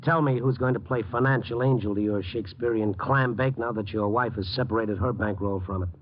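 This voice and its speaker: An actor playing a wry, hard-boiled but caring newspaper reporter, narrating and acting in a clipped, colloquial radio noir style. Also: male voice, fairly low pitch, fairly quick, a little hiss or hum, lightly acted. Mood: needling. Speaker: narrator and lead. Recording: muffled old radio recording with music.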